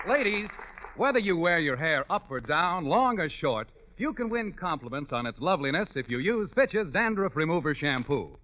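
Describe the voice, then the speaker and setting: A man's voice: fairly high pitch, sing-song, moderate pace. A man reading a scripted 1940s radio commercial as a polished, salesmanlike announcer. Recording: tinny, narrow vintage radio recording.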